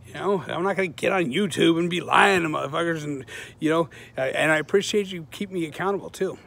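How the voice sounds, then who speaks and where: A man's voice: fairly high pitch, quick pace, vocal fry, fairly bright tone. Man rambling earnestly outdoors into a phone. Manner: loose, rambling, profane, earnest. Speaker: vlogger, channel host. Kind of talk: casual selfie vlog to viewers. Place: outdoors on a sunny suburban street. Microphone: phone held at arm's length.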